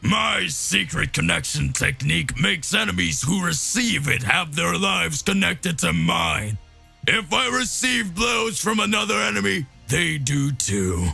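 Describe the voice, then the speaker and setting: A man's voice: fairly high pitch, animated, measured pace, creaky, pushed and bright, husky, faint music behind, heavily acted. A man voicing a gloating, sneering world-destroying villain in an anime-style story. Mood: gloating, mocking. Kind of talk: anime fan-fiction dramatic reading.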